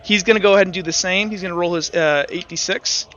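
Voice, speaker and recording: A man acting as game master, narrating and explaining rules in a halting, self-correcting way. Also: high-pitched, fairly bright tone, dull audio. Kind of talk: improvised tabletop game narration and rules talk.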